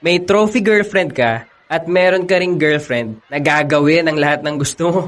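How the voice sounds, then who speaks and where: Man acting teasing young student friend, laughing, a bit higher voice. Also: moderate pace, a bit bright, natural voice. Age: young adult. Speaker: actor in a radio drama. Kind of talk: radio drama acting.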